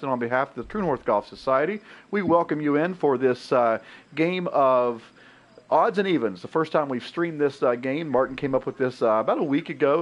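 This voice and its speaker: A man's voice: fairly high pitch, lo-fi sound. A man in his thirties with a nasal, congested voice from a cold, hosting a live golf game stream and commentating his shots in a headset. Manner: upbeat, welcoming, talks through his own shots. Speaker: stream host. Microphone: gaming headset.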